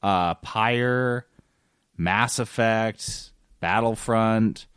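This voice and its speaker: A man's voice: on the low side, very slow, a bit bright, smooth, no echo, studio quality. A man co-hosting a podcast with informal, casual gaming chat. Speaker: podcast co-host.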